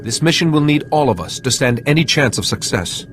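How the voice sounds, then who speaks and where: An actor playing a resolute, composed Jedi leader in a video game, measured and authoritative. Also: male voice, medium pitch, expressive, a bit bright, slight background noise, lightly acted. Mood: determined. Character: resolute, composed male Jedi hero leading a mission. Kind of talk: scripted video game acting.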